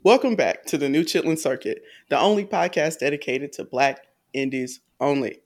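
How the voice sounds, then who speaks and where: A woman hosting a podcast in casual chat, lively and teasing. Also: low-pitched, moderate pace, soft background music. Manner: lively, teasing, laughs a lot. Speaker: podcast co-host.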